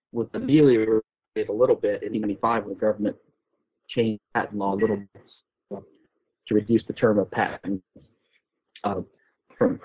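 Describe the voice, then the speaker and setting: A man's voice: fairly low pitch, a little mellow, breathy edge. A man explaining as a guest expert in an informal discussion, often repeating and rephrasing his phrases. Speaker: guest expert on patent law. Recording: roomy, phone-like call audio.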